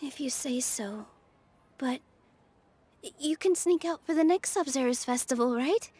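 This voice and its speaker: An actress playing a gentle, idealistic young dancer in a video game, speaking in a sweet, earnest way. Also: female voice, high-pitched, measured pace. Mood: anxious, caring.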